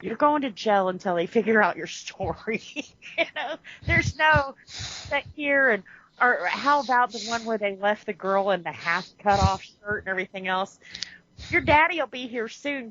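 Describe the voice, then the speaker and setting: A woman co-hosting a podcast who talks about a movie in an animated way, laughs often and acts out lines of the characters. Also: medium pitch, normal speed, dry recording. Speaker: podcast co-host. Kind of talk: casual movie discussion. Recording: dull lo-fi podcast audio.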